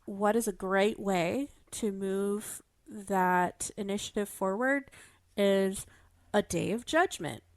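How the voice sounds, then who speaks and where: A woman with a mid-pitched voice, recorded dry and close, in a casual analytical podcast discussion. She is thoughtful, qualifying her ideas and building them step by step. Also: slow, dry recording. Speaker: podcast panelist discussing a fiction show.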